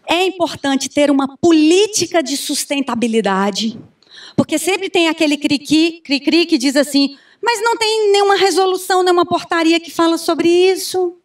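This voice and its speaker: A Brazilian woman in her thirties giving an animated conference talk on a handheld mic, often asking the audience questions. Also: high voice. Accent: Brazilian Portuguese. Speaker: conference speaker. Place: large conference room with audience. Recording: distant room sound from far camera.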